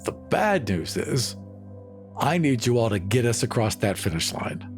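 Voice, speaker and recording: A man's voice: mid-range voice, very animated, measured pace, over music. A man playing a glib, persuasive colony boss in a tabletop game, assured and folksy like a salesman. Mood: pitching. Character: glib, persuasive male colony boss making big promises. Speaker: player character in a tabletop game.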